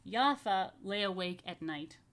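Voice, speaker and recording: A woman reading a picture book to young families as a rabbi, stretching key phrases expressively and slipping into chatty asides. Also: medium pitch. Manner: expressive, slow emphatic storytelling with chatty murmured asides. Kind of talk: children's story read-aloud with casual asides.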